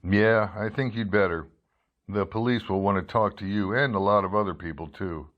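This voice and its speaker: An actor playing a hard-boiled, world-weary investigative reporter in an old-style radio drama, clipped, wry and matter-of-fact. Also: male voice, on the low side, normal speed, lo-fi sound, lightly acted. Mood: gentle, firm. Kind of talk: old-time radio detective drama acting and narration.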